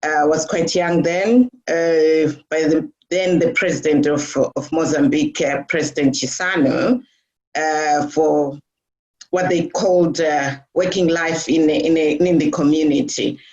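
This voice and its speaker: A woman's voice: low-pitched, fairly even intonation, measured pace, a little mellow. A middle-aged woman from Zimbabwe being interviewed, talking with many fillers and restarts. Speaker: guest. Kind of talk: casual interview talk. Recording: dull lo-fi audio.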